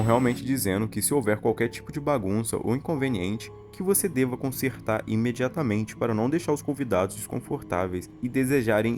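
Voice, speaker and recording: A man's voice: mid-range voice, a little mellow. A man with a Brazilian accent, telling a horror story as scripted narration for an explainer video.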